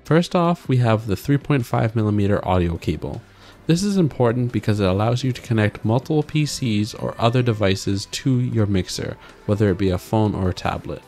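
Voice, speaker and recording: A man's voice: fairly low pitch, expressive, a little fast, a little mellow. A man doing an upbeat, scripted voice-over for a product review as a tech vlogger. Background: background music.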